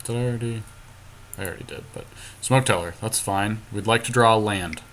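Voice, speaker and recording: Bright-voiced, low-pitched man talking card strategy. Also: brisk. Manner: thinking aloud, analytical. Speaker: podcast co-host playing an online card game. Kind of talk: casual play-by-play strategy talk.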